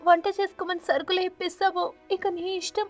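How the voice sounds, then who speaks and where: A woman voicing a poor mother sparrow in an animated story, humble and pleading. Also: very high-pitched, fairly quick, faint music behind, voice pushed up. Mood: tearful pleading.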